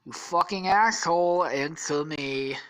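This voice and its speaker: A woman's voice: low voice, a little slow, fairly bright tone, slight background noise, low-quality recording. Blunt, pushy, irritated young-sounding pal, a man acted out by a woman, comic story, slightly raised voice. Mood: angry. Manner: blunt, insistent, sweary. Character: annoyed male buddy hunting for his missing friend.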